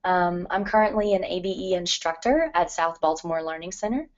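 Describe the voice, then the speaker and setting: A woman's voice: lowish voice, a little fast. Scratchy-voiced woman giving a talk. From Louisiana. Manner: frequent ums, careful and earnest. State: scratchy voice from allergies. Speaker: literacy professor and adult educator. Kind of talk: presentation to educators. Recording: dull lo-fi recording.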